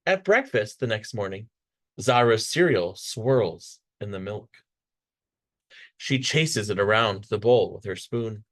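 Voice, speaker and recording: A man reading a children's picture book aloud with expressive, dramatic storytelling delivery. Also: fairly low pitch, slow pace. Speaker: storybook reader.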